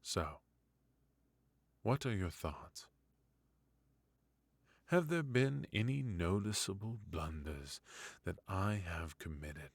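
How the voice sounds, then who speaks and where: Man playing a deep, slow-spoken haughty royal mentor. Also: expressive, no room sound, full character voice. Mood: wry, teasing. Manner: formal, measured, wry, with musing hums and soft chuckles. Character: forgotten adult male royal mentor, resentful, haughty yet indulgent. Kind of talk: intimate ASMR fantasy roleplay.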